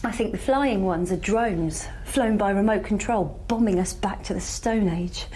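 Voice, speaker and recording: A woman acting as a weary female survivor of a disaster in a radio play. She is guarded and wryly understated, at a medium pitch, with faint ambient noise behind her. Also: measured pace, warm tone, close to an everyday voice. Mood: grim, haunted. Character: wounded, weary woman astrophysicist surviving an alien invasion. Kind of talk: audio drama dialogue.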